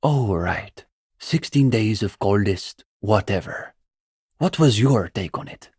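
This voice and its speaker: An actor playing an earnest, pretentious young communist student intellectual with a bookish, opinionated way of talking, in a video game. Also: male voice, fairly low pitch, animated, slow, darkish tone, husky, no echo, studio quality, full character voice. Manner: bookish, opinionated, academic phrasing. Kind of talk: video game character dialogue.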